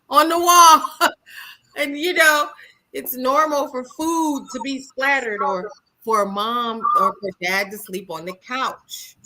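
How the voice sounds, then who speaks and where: A woman's voice: mid-range voice, bright, forceful tone, poor audio. A woman hosting a casual live stream, speaking emphatically and throwing rhetorical questions at her listeners. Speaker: host.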